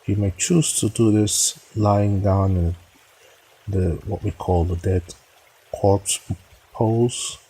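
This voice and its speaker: A man with a deep, husky voice guides a meditation. His delivery is very slow and solemn, broken into short phrases with pauses, as he gives measured instruction. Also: steady tone, warm tone, low-quality recording.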